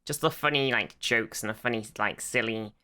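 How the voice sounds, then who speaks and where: A woman's voice: a bass voice, moderate pace, bright, forceful tone. A woman co-hosting a podcast, chatting casually and reacting to the other host.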